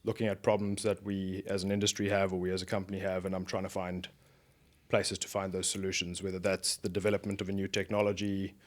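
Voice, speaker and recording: South African man, low voice. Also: completely flat delivery, quick pace. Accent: South African, softened by years in America. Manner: thoughtful, explains at length with frequent fillers. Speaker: guest, beef research director. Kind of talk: casual podcast interview.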